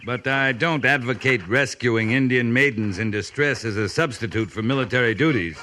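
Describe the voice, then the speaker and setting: Man as a stern, sharp-voiced cavalry captain. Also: medium pitch, moderate pace, lightly acted. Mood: wry. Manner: clipped, formal, wry. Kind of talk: 1950s radio drama acting. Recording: noisy 1950s radio recording.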